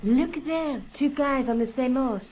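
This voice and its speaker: A woman's voice: sits high, unhurried, a little mellow, slight background noise, rough recording. An actress playing a self-assured, knowledgeable young woman journalist in a video game, speaking in a matter-of-fact storytelling way. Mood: curious.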